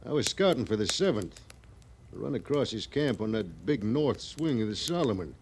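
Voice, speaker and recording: An actor playing a bitter, vengeful frontier army scout with rough rustic talk, in the style of an old radio western. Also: male voice, lowish voice, sing-song, moderate pace, darkish tone, full character voice. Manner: rough rustic grammar, insistent. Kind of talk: 1950s radio western acting. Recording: noisy old radio drama recording.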